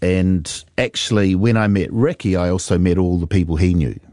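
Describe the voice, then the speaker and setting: A middle-aged man with a New Zealand accent, his voice very low and mellow. He recalls his past at an easy pace, pausing with ums as he thinks. The sound is close and dry. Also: steady tone, no room sound. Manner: reflective, unhurried, many fillers. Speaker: musician guest. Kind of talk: relaxed interview storytelling.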